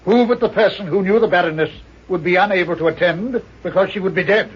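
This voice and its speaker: An actor playing a shrewd, haughty doctor detective in an old-time radio mystery, speaking pedantically with hesitant pauses. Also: male voice, high voice, husky. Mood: lecturing. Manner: pompous, pedantic, hesitant "uh" mid-sentence. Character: shrewd, haughty male doctor sleuth, coolly condescending. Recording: dull, muffled old radio recording.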